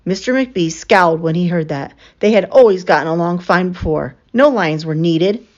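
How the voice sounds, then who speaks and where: A woman reading a picture book aloud as an expressive storyteller for children. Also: on the low side, normal speed. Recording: slightly dull, lo-fi sound.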